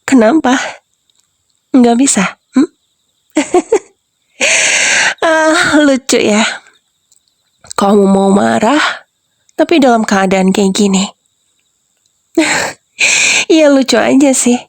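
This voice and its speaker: A woman's voice: on the high side, animated, takes it slowly, long pauses, dry recording, full character voice. A woman voicing a sinister young wife who whispers and giggles as she taunts the husband she has drugged. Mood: mocking, teasing. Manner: soft, teasing, breathy whispers with giggles. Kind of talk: whispered roleplay audio drama.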